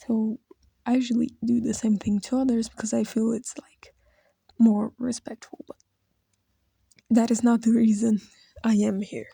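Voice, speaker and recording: A woman speaks slowly and haltingly, close to tears, often trailing into whispers. Her voice is slightly high but dark and gravelly, with a husky edge. The sound is dry and close. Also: little pitch movement, no room sound. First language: Brazilian Portuguese. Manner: halting, hesitant, drifting into soft whispers. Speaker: video creator. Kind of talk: emotional personal tribute.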